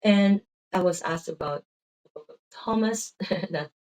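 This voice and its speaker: A woman's voice: on the low side, a little slow, darkish tone, rough recording. A middle-aged woman with a Filipino accent gives a tired, rambling casual talk about her faith, full of "um"s and "right?" tags. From Philippines. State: tired, drained of energy.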